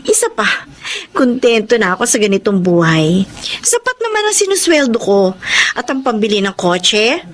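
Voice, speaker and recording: A woman playing an easygoing, sassy young coworker friend in a Filipino radio drama, animated and slipping into English. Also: a little high, moderate pace. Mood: breezy. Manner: animated, code-switching into English.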